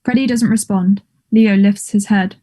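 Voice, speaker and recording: A woman with a mellow, mid-pitched voice narrates a play script. She reads out the stage directions for a table read. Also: steady tone, moderate pace.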